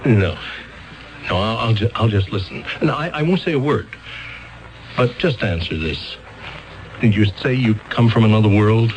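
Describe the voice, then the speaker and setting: An actor playing a flustered defense attorney who stammers and sounds excitable and incredulous in a 1970s radio drama. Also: male voice, fairly low pitch, moderate pace, pauses often, muffled recording, close to an everyday voice.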